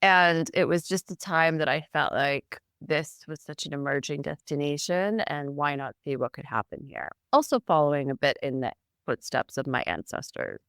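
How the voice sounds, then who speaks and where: A middle-aged American woman from near Boston, a guest telling her story in a chatty, animated way with occasional laughs. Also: low voice, normal speed, hardly any pauses, smooth, no room sound, clean studio sound. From United States, Boston area. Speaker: guest, founder. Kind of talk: casual interview.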